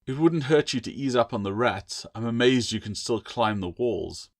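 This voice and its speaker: A man's voice: mid-range voice, expressive, moderate pace. A man playing a bookish, cautious boy in a storybook, sarcastic and easily indignant. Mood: mocking. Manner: mocking, indignant.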